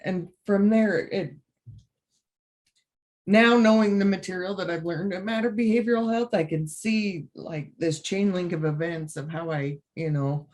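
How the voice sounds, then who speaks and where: Low-voiced woman telling her story. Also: a little mellow. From Utah. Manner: earnest, emotional, halting with many ums. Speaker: guest. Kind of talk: personal story.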